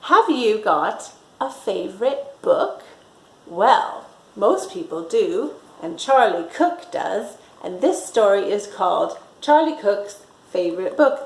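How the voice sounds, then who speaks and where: A woman reading a picture book aloud to young children in a bright, sing-song storytime way, doing little voices for the characters. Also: mid-range voice, expressive, slow pace. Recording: echoey room.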